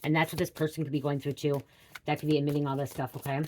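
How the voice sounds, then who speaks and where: A woman's voice: low-pitched, little pitch movement, very fast, mellow, slight background noise. A middle-aged woman talking casually and confessionally, blunt and sweary, repeating phrases for emphasis. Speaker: host giving a spiritual reading.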